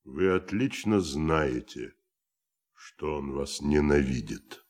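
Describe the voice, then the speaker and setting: An actor in a Russian audio drama playing a stern, bitter army general who speaks in a terse, dry manner. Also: male voice, extremely low pitch, animated, slow pace, darkish tone, heavily acted. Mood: grimly bitter.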